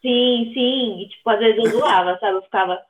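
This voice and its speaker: A woman's voice: fairly high pitch, moderate pace. A woman with a Brazilian accent speaking as a podcast guest in informal, conversational talk full of slang and tag questions, over a thin call-quality line. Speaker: podcast guest, social media creator. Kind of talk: casual conversational interview. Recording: thin phone-like remote line.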